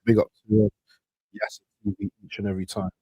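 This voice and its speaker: A man co-hosting a football stream over a video call with his camera off, chatting casually, joking, and giving shout-outs. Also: on the low side, monotone, quick pace, breathy edge, no room sound, dull audio. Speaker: co-host on the stream.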